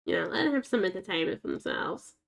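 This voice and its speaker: A woman's voice: on the low side, expressive, fast talker, creaky. A woman with a naturally scratchy voice chatting casually to herself and her pets in the game as she plays. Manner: rambling, chatty, talks to herself and the game's dogs. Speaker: game streamer. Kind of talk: casual gameplay commentary.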